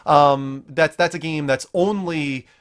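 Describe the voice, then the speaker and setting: A man's voice: on the high side, slow pace, fairly bright tone, clear, resonant tone. Man hosting a casual gaming podcast. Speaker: podcast host.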